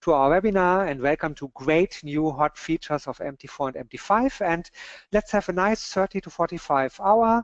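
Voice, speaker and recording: A man from Germany with a German accent, whose first language is German, opening an online webinar as co-moderator in friendly, halting English. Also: a little high, no echo. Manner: friendly, halting non-native phrasing. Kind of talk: webinar introduction. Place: home or office in Berlin. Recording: dull, dry online webinar audio.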